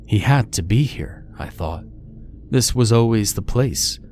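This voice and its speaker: A man's voice: fairly low pitch, lively intonation, unhurried, darkish tone, soft background music. A man reading a scripted first-person horror story as its narrator.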